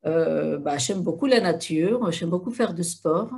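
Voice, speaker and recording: Husky woman, low voice. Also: lively intonation, a little slow, dark tone. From Argentina. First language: Spanish. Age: middle-aged. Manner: earnest, many hesitations and restarts. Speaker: guest speaker. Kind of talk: live interview talk to an audience.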